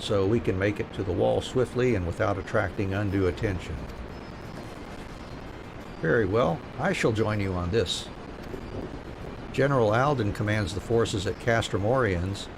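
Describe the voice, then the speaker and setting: A man's voice: on the low side, moderate pace, vocal fry. A man streaming a game, reading story dialogue aloud and chatting casually. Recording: steady noise behind the voice.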